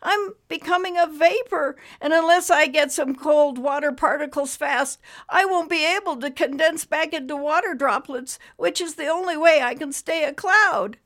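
A woman's voice: high-pitched, moderate pace, few pauses, fairly bright tone, pitched above the performer's own voice. A woman reading a storybook voices a small, weak male cloud who is fading away, talking breathily and wheezing.

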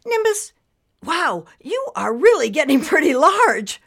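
A woman voicing a friendly, curious female painter in a children's story, expressive and full of exclamation. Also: sits high, measured pace, vocal fry, pitched above the performer's own voice, exaggerated voice. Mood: eager, amazed.

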